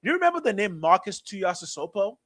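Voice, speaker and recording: A man's voice: high-pitched, very animated, quick pace, a bit bright, dry recording, studio quality. A man in his thirties with a mixed Nigerian and American accent, talking sports casually and playfully, often stumbling over words.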